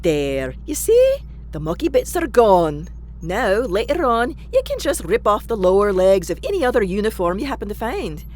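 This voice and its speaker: A woman's voice: medium pitch, huge pitch swings, normal speed, a little mellow. A woman acting a brash, shameless Scottish spacefarer in a sci-fi comedy audio drama, blunt and teasing with colourful slang. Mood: brazen, teasing.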